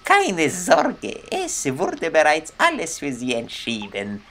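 A man's voice: a little high, huge pitch swings, slow, fairly bright tone, soft background music, pitched above the performer's own voice, full character voice. A man voicing an eerie, insistent cosmonaut recruiter in a video game, drawing out his words. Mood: eerily insistent.

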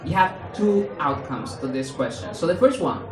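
Young Spanish comic, animated and playful, heard from afar in a roomy club with a loud laughing crowd. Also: male voice, moderate pace. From Spain. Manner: animated, playful, jumps into high mock voices for quotes. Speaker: stand-up comedian. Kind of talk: stand-up comedy set. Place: small comedy club stage, indoors. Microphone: handheld mic. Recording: roomy club sound from far off, audience laughing.

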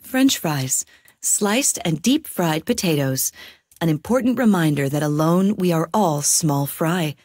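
Woman, slightly low voice, deadpan and sarcastic, game narrator. Dry booth sound. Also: unhurried, dry recording. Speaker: in-game food description narrator. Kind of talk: scripted game voice lines. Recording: dry studio recording.

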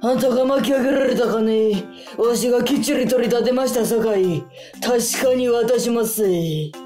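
A roomy, echoing voice with a mellow, menacing tone and a Kansai accent. It is a young girl acted by a woman putting on a tough, big-hearted moneylender with theatrical swagger, in a radio drama. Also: fairly high pitch, moderate pace, soft background music, voice pushed down, lightly acted. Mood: gruff, magnanimous. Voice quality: menacing, put-on gangster tone.

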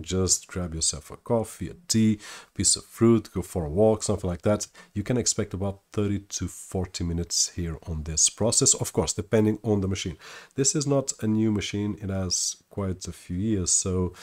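Man, deep voice, thirties, tech tutorial, steady upbeat explaining. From Portugal. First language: Portuguese. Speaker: tech YouTuber. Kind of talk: tutorial walkthrough. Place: room indoors at a desk.

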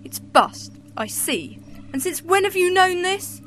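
A woman playing a brisk, impatient Time Lady companion with a crisp, sardonic way of speaking. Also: very high-pitched, lively intonation, a bit bright, faint music behind, heavily acted, poor audio.

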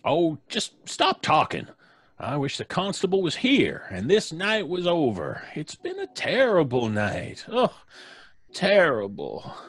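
A testy, folksy small-town doctor in a radio horror play. His rough, slightly high voice swoops dramatically with exasperation and bluster. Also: huge pitch swings, slow, a bit bright, heavily acted. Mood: testy, rattled. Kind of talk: old-time radio drama acting. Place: home recording, live remote video call.

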